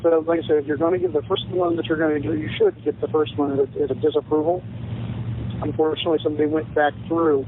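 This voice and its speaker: A man's voice: on the high side, flat delivery, quick pace. A man explaining things as a government agent on an informal official call, restarting phrases, over a tinny phone line. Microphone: far end of phone call. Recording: quiet, tinny phone line, faint noise.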